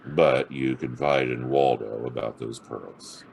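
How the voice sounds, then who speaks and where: An actor playing a hard-boiled, wisecracking private detective in a noir radio drama, with a gravelly, husky voice and a sardonic drawl. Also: male voice, very deep voice, fairly even intonation, takes it slowly, darkish tone, exaggerated voice. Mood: probing, wry. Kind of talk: noir radio drama acting and first-person narration.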